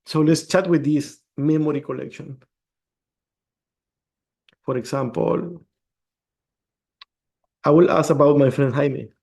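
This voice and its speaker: Brief: male developer, gravelly and warm, explains code step by step. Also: on the high side, fairly even intonation, slow, pauses often. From El Salvador. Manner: explains step by step, restarts words. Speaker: developer presenting a demo. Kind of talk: screen-share tech demo.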